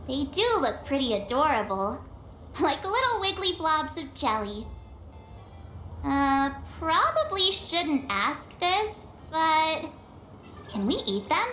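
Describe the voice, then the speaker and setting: A bright, high and very animated young female space traveler, curious and playful like an anime game heroine. Her lines sound roomy, with faint music behind. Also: slow, a little hiss or hum, exaggerated voice. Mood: bubbly curiosity. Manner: animated, chatty.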